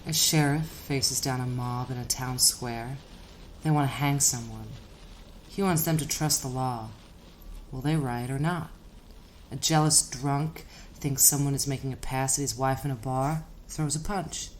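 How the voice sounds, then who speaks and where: An actress playing an enigmatic hitchhiker, calm and knowing, speaking in measured, cryptic phrases in an audio drama. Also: female voice, a bass voice, slight background noise, low-quality recording. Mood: quietly ominous. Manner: measured, cryptic, grave storytelling. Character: enigmatic woman hitchhiker, calm, knowing, ominous otherworldly collector.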